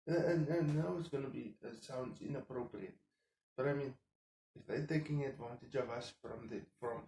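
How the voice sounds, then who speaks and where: A man in his thirties, a native Afrikaans speaker, rambles to camera in a hushed voice so he won't wake his household. His tone is mellow and dark, and the kitchen gives the recording a roomy echo over a faint hiss. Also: medium pitch, moderate pace. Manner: subdued, keeps voice down so as not to wake the household; rambling, thinking out loud with frequent fillers. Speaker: vlogger. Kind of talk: casual monologue to camera. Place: home kitchen, indoors.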